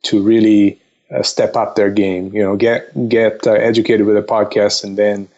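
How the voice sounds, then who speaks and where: Male podcast guest expert, fairly low register. Conversational, agreeable, a touch hesitant. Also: flat delivery, normal speed, darkish tone, dull audio. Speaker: investment counselor, podcast co-presenter. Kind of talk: casual conversational podcast talk.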